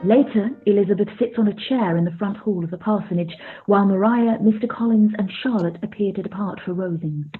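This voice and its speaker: A woman's voice: medium pitch, brisk, faint music behind. A woman narrator reading scripted stage directions for a radio play. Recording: thin phone-like sound.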